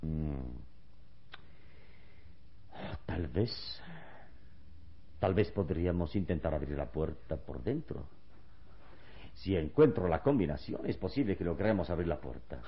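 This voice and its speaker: Calm, wise adventure hero in vintage radio drama; very low voice, deliberate delivery, dull lo-fi recording. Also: male voice, exaggerated voice. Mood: calm, reasoning. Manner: calm, deliberate, reassuring elder to a boy. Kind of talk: vintage Spanish-language radio serial acting.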